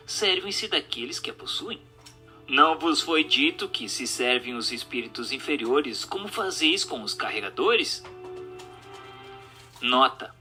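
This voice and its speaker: A man with a Brazilian accent giving a dramatized reading of a spiritual text in a formal, didactic, clearly enunciated manner. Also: fairly high pitch, pushed and bright. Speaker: narrator.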